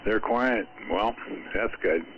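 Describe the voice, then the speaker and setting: A dull radio signal with faint noise carries a man's lowish voice. He is a ham operator chatting casually, wandering from thought to thought with halting phrasing. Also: fairly bright tone. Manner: rambling, halting. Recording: dull, faintly noisy amateur radio transmission.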